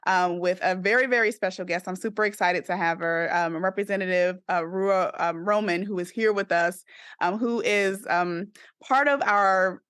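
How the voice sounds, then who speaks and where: A woman hosting a conversational interview, speaking in a halting, wordy way that circles back on phrases. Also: fairly low pitch, a bit bright, smooth, dry recording, studio quality. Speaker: podcast host.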